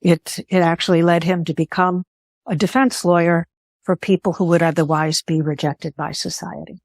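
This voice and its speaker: An older woman whose first language was Greek, speaking in an interview in a thoughtful, measured way and pausing to rephrase. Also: on the low side, fairly even intonation, a little mellow, no room sound, clean studio sound. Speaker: guest. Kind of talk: interview conversation.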